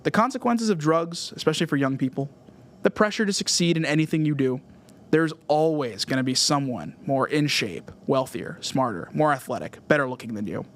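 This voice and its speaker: California guy doing a solo music podcast, voice a bit on the high side, earnest, reading off a script. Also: lively intonation, a little fast. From Southern California, USA. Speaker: podcast host.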